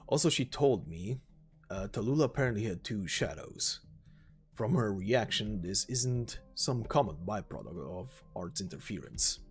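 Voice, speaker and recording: A man voicing a stern, weary mercenary warrior who cautions gravely in an amateur reading of a game story. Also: fairly low pitch, sing-song, measured pace, faint music behind, exaggerated voice. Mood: grave, businesslike.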